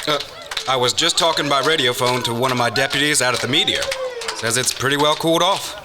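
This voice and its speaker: A man's voice: mid-range voice, quick pace, lightly acted. A man acting in a radio drama as a laid-back, plain-spoken, obliging small-town sheriff. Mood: matter-of-fact. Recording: noisy radio play recording.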